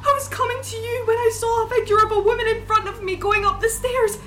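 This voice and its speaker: Brief: terrified, guilty woman for gothic audio story; very high, piercing voice, bright and tense, melodramatic. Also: fairly even intonation, quick pace, slight background noise. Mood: panicked. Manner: shrill, melodramatic. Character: frantic, guilt-ridden woman terrified by an apparition. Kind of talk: dramatised gothic ghost story acting.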